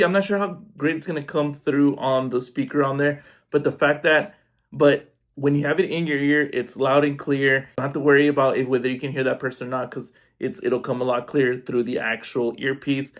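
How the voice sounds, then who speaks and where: A man talking informally through a casual unboxing review, demonstrating step by step. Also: medium pitch. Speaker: product reviewer. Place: indoors at home.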